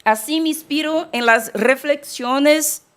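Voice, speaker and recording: Brazilian woman from Bahia, lecturer, code-switching portunhol, measured academic delivery. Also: high-pitched. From Salvador, Bahia, Brazil. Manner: mixes Portuguese and Spanish, frequent restarts. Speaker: keynote lecturer.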